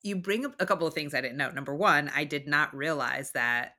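A woman hosting a podcast, her voice very low in pitch yet bright and forceful in tone. She reflects aloud and asks her guest curious questions. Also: fairly quick. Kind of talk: conversational podcast interview.

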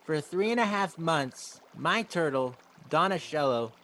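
A man's voice: a little high, expressive, unhurried, bright, forceful tone, slight background noise. A man playing an odd, blunt young boy in a comedy radio play, talking earnestly and blurting things out.

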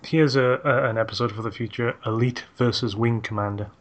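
A man's voice: medium pitch, fairly even intonation, a little fast. A man hosts a casual podcast about games. He reads facts from his notes and drops jokey asides between them. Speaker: podcast host.

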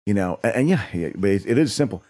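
A man's voice: on the low side, lively intonation, fast, a little mellow. A middle-aged man co-hosting a casual podcast chat. He rambles and restarts his sentences, hedging his points. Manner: rambling, hesitant, many restarts. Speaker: podcast co-host.

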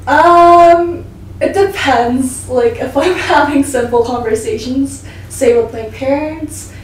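Korean-born woman guest, native Korean speaker, soft-spoken and hesitant, roomy faint ambience. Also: high voice, takes it slowly, slight background noise. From South Korea. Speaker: guest interpreter. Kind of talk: casual interview answers. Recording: echoey room, mic at a distance.

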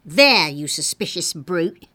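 A cross housemaid from a storybook, acted by a woman reader. She scolds sternly and with exclamation, her pitch swinging widely from phrase to phrase. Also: very animated, takes it slowly, pushed and bright, no room sound, heavily acted. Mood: cross, triumphant. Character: bad-tempered, stern housemaid scolding a dog.

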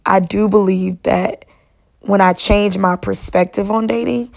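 A young woman hosting a solo podcast, her voice raspy and worn, talking in a casual, chatty, encouraging way. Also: mid-range voice, fairly even intonation, unhurried. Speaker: podcast host.

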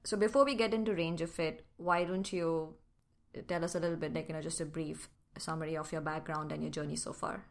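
A woman's voice: low voice, steady tone, a little fast, a little hiss or hum. A woman hosting a podcast, asking questions and giving brief encouraging replies. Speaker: podcast host. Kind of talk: interviewing.

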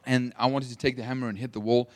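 A young South African man preaching a sermon, telling a story with swings between loud emphasis and quiet asides. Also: mid-range voice, level tone, fast talker. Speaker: pastor.

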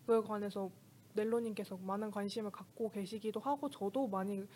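A woman's voice: medium pitch, fairly even intonation, a little fast, mellow, slight background noise. A woman in her early twenties chatting casually and politely as a guest, often giggly. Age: early 20s. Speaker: talk show guest. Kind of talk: casual chat.

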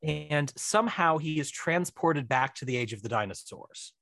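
Rambling American man, slightly higher pitch, dry. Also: lively intonation, normal speed, vocal fry, bright, forceful tone, no room sound. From Missouri, US. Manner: rambling, digressive, self-interrupting. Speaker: podcast guest, film director. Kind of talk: casual chat.

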